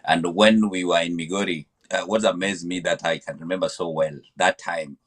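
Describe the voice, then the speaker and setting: A man's voice: low-pitched, fairly even intonation, moderate pace. A man from Kenya, a podcast guest, talking in a hesitant way with many fillers and repeated words. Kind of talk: conversational interview answer.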